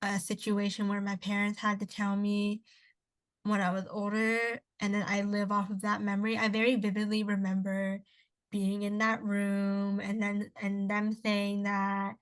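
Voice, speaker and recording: A young woman co-hosting a casual podcast, her first language Cantonese. She talks in long rambling stretches, restarting sentences and wandering into asides, at a middle pitch for a woman. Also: completely flat delivery, unhurried. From Canada. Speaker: podcast co-host. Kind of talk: casual chat.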